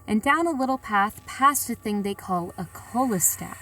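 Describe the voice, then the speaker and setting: A woman's voice: a little high, lively intonation, moderate pace, bright, forceful tone, far from the mic, a little hiss or hum, rough recording. A woman playing a plain-spoken, reflective sightseer who tells her story in a colloquial, confiding way. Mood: reflective, uneasy. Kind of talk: first-person audio drama narration.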